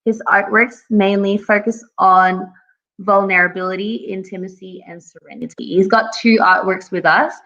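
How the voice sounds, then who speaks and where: A young woman, co-hosting a live art sale, reading artist descriptions in a measured way with apologetic asides. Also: medium pitch, fairly even intonation, a bit bright, dull audio. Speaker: livestream co-host.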